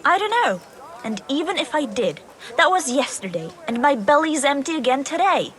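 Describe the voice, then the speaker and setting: A woman's voice: high voice, very animated, normal speed, a bit bright. A woman voicing a downtrodden, stubborn medieval beggar in a video game, with a plaintive manner. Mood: evasive. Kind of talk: dramatic game cutscene dialogue.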